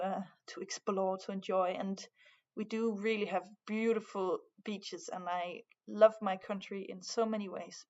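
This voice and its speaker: A young Danish woman talks in English with a Danish accent, pausing often and restarting her sentences. Her voice has a gravelly fry at the ends of phrases. The recording sounds dull and lo-fi. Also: mid-range voice, darkish tone. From Denmark. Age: young adult. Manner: hesitant, many fillers and restarts. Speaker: art student, guest. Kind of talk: casual conversation.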